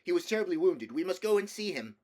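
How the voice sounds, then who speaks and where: Storybook eldest brother, played by a man: boyish higher voice, earnest, urgent, emphatic. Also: sing-song, fast talker, darkish tone. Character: earnest, stern boy, eldest brother, in a war tale.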